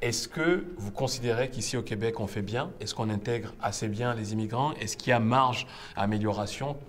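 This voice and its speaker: A man's voice: on the low side, normal speed. A man hosting and moderating a panel discussion, putting questions to a group. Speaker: interviewer, host.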